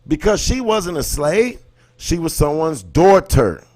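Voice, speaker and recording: A man's voice: a little high, very animated, a little slow, a little mellow. Emphatic American man talking to livestream viewers. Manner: colloquial, emphatic, rhetorical questions. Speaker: livestream host. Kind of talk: impassioned casual commentary, talking to live viewers.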